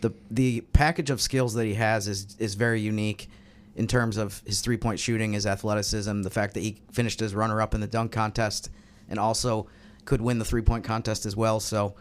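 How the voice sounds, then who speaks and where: A man's voice: fairly low pitch, level tone, a little fast. An American man co-hosting a sports podcast, giving his opinions in casual discussion. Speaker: sports podcast panelist and co-host.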